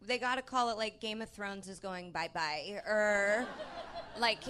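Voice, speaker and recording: A woman joking casually in a deadpan way as a comedian guest on a live panel. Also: mid-range voice, unhurried. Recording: live stage with clear background noise.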